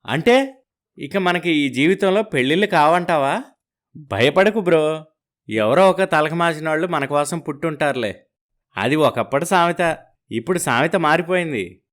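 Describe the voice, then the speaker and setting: A young bachelor in a cartoon comedy, acted by a man. He banters with mock gloom and slang, his male voice sitting slightly high. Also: expressive, unhurried, pauses often, fairly bright tone. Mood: mock-gloomy banter.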